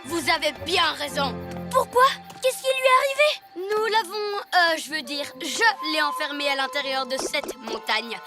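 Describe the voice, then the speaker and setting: A woman's voice: sits high, animated, normal speed. A woman voicing a boastful, scheming young ninja villain in a children's cartoon, theatrical and sarcastic. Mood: mock pity, gloating. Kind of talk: animated children's cartoon acting. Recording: loud music behind the voice.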